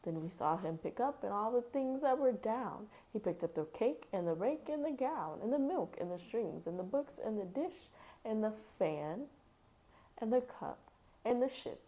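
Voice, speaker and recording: A woman's voice: fairly high pitch, expressive, moderate pace, warm tone. Woman reading a children's storybook aloud. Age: adult mother. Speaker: children's book read-aloud host. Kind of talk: animated storybook reading with casual commentary.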